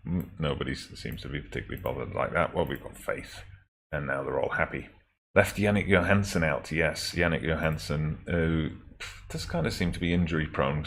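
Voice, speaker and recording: A man casually commentating on his own football management game, chatty and wry, his throat still a little raspy after the flu. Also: extremely low pitch, a bit bright, slight background noise. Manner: chatty, self-correcting, wry asides. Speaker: gaming video host.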